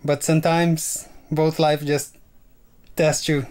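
Slow-talking man on a sailboat. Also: a little high, little pitch movement, a little mellow, slight background noise. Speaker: sailing vlogger. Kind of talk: casual vlog talk. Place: small boat cabin, indoors.

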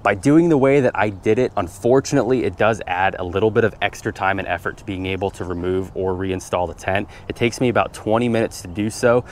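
A man's voice: low-pitched, slight background noise. A man in a casual how-to vlog, explaining his truck setup in a methodical, practical way, outdoors by his truck. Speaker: vlogger showing his truck build.

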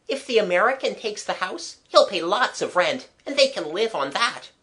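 A woman's voice: mid-range voice, sing-song, normal speed, a bit bright, pitched above the performer's own voice. A woman voicing a cocky, matter-of-fact schoolboy in a storybook, with a blunt, slangy way of talking. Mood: practical.

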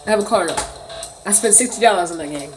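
A woman's voice: mid-range voice, animated, fast, over loud music, low-quality recording. A woman in her thirties telling a story as a casual, slangy rant to camera, indignant and emphatic, on a phone held at arm's length in a bedroom. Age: 30s. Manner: indignant, emphatic, slangy venting with mimicked quotes. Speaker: vlogger. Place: small bedroom, indoors.

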